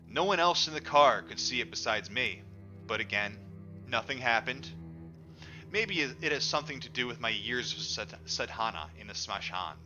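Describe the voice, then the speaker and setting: Animated male storyteller over music. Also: medium pitch. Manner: animated, acts out quoted dialogue. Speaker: audiobook reader. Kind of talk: narrated book reading, storytelling. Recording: dull lo-fi audio, music underneath.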